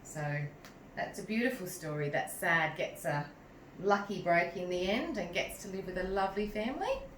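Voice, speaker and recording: A woman reading a picture book aloud to young children in a measured, animated way, pausing to chat to them. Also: on the low side. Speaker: teacher reading a story to children. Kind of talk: expressive picture-book read-aloud with asides to young listeners. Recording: roomy echo, distant mic, some noise.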